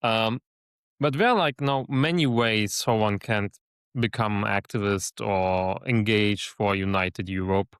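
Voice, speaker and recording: A man hosting a podcast interview, measured and listening, with frequent fillers. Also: on the low side, a bit bright, smooth, no room sound. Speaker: podcast host.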